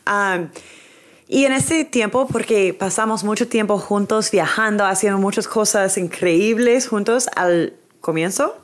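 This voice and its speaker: A woman's voice: medium pitch, measured pace. A woman in her twenties, a native English speaker, chatting as a podcast guest in hesitant learner's Spanish with English words slipping in. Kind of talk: casual chat.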